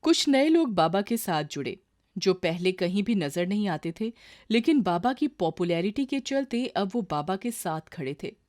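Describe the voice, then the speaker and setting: A woman's voice: medium pitch, big pitch swings, moderate pace, a little mellow. A woman reading a scripted story as its narrator.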